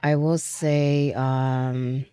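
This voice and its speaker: A woman giving a casual tarot reading, chatty, with frequent fillers, trailing pauses and light laughs, and sounding stuffy from a cold. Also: a bass voice, flat delivery, warm tone, clear, resonant tone, no echo, studio quality. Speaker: tarot reader.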